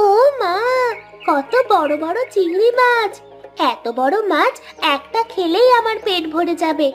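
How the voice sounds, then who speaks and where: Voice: extremely high pitch, lively intonation, measured pace, soft background music. A performer voicing an eager young village girl with a pleading, childlike manner in a cartoon. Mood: excited, pleading. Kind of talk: cartoon dialogue in Bengali storytelling.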